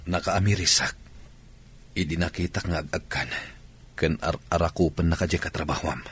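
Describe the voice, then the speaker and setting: An actor playing a remorseful, jealous husband with a husky voice, pleading earnestly in an Ilocano radio drama. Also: male voice, sits low, normal speed, a bit bright, exaggerated voice.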